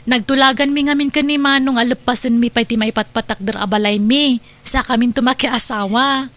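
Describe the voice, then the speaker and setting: Actress as high-voiced young Ilocano niece, polite. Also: female voice, fairly even intonation, a little fast, a bit bright, close to an everyday voice. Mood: gentle, reassuring. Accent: Filipino, Ilocano. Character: polite, hardworking young unmarried city niece. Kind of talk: radio drama acting.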